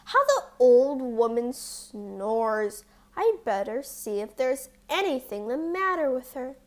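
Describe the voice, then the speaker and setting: A woman playing a watchful male huntsman in a fairy tale, deliberate and stern. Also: high-pitched, big pitch swings, exaggerated voice. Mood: curious.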